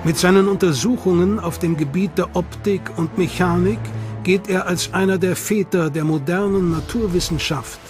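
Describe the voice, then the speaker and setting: A man giving measured, scripted documentary narration. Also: sits high. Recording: voice-over with loud music behind.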